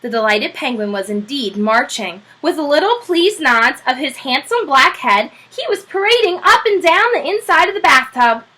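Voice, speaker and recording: A woman's voice: high voice, normal speed, hardly any pauses, poor audio. A woman teacher reading a children's chapter book aloud, telling it expressively. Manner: expressive, animated storytelling.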